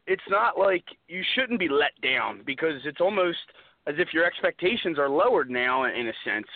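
An American man giving casual sports opinions on a radio panel, halting as he thinks aloud. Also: on the high side, dry recording. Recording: dry, phone-like narrowband radio sound.

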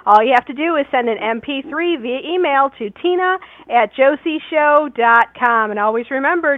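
A woman co-host reading station announcements on a radio show in a brisk, upbeat promotional way. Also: sits high, no room sound. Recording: dull, lo-fi, dry sound.

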